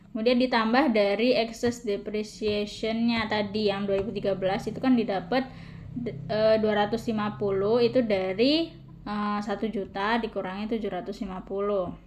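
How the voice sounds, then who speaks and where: Young woman, student presenter, medium pitch, informal step-by-step explanation. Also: a little mellow, slight background noise. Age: young adult. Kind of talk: explaining a worked calculation.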